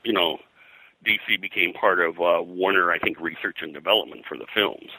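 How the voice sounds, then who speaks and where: An older man with a very low-pitched voice and a hard, bright edge, recorded dry and close. He reminisces in a casual interview in a rambling way, often halting, restarting and repeating phrases. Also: no room sound, rough recording. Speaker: interview guest, veteran comics production artist.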